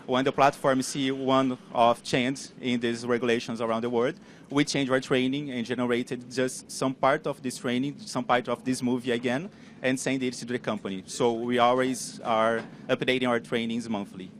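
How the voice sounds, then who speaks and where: Brazilian guy pitching in choppy english, loud tram and street noise all around. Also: flat delivery. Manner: halting, searching for words in English. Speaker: startup CEO pitching. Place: on a moving tram.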